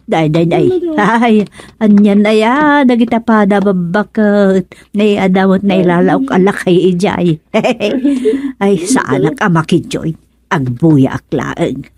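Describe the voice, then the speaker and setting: Slow-talking, chatty, nosy village woman, played by an actress. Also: mid-range voice, a little mellow, close to an everyday voice. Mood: teasing. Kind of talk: Ilocano radio comedy drama acting.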